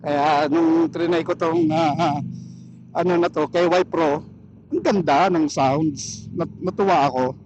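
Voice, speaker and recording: A Filipino man with a slightly high voice talks casually to his vlog audience. He speaks haltingly, often searching for the word. Faint vehicle noise runs behind him. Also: muffled recording. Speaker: motorcycle vlogger. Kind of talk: casual vlog chat while riding. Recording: steady vehicle and road noise.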